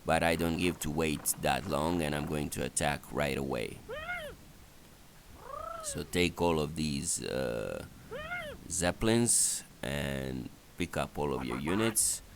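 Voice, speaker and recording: A man's voice: very deep voice, slow, vocal fry, slight background noise. A man giving an unscripted strategy game walkthrough in a hesitant, step-by-step instructional manner. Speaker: gameplay guide narrator.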